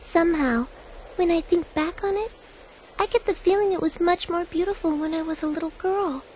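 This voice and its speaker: A woman voicing a gentle, wistful young girl in a video game cutscene. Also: extremely high pitch, normal speed, a little hiss or hum, poor audio. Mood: wistful, questioning.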